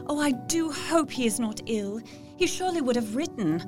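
A worried young woman confiding in her journal in a period audio drama. Her voice is on the high side, earnest and reflective, over clearly heard music. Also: expressive, moderate pace. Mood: anxious, puzzled. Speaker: voice actor.

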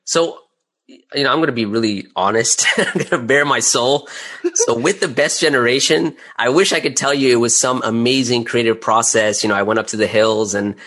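A man's voice: medium pitch, normal speed, fairly bright tone. A middle-aged man speaking casually as an interview guest, candid and self-deprecating about his own work as a creator. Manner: candid, self-deprecating, chuckles while talking.

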